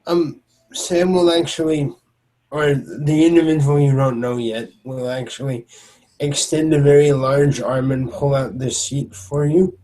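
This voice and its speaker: A man narrating as game master of a tabletop roleplay, halting with frequent restarts. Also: mid-range voice, steady tone, mellow, low-quality recording.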